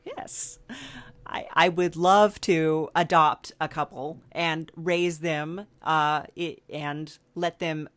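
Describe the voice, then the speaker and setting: A woman hosting a comedy podcast, playing along with a halting, filler-heavy manner. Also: low voice, fairly even intonation, fairly bright tone, no room sound. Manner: hesitant, many fillers, playing along with mock seriousness. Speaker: podcast host. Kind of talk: improvised comedy interview.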